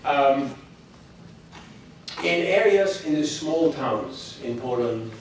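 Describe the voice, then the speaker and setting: Older man, guest speaker, halting opinionated delivery, miked from afar in a big echoey hall. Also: mid-range voice, animated, recorded from a distance, a little hiss or hum. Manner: halting, many restarts, opinionated. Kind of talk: public talk with audience questions. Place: hall with audience.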